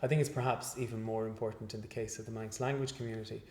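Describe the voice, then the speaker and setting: A male researcher giving an interview after a lecture, speaking in a measured, hesitant way with many fillers and restarts. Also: lowish voice, fairly even intonation, darkish tone. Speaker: guest researcher. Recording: echoey room.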